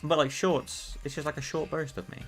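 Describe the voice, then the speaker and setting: A British man streaming a game, chatting casually in a rambling, profane way that he calls monotone. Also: on the high side, brisk, faint music behind. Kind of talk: casual live-stream chat while gaming.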